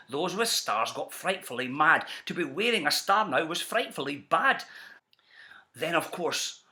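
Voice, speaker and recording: A Scottish man reading a rhyming children's story aloud in an animated storyteller style. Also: high-pitched. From Scotland. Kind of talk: animated storybook narration in rhyme.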